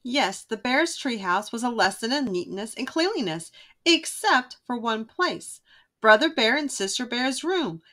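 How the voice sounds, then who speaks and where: A woman narrating a children's storybook aloud in an expressive, emphatic way. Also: a little high, unhurried.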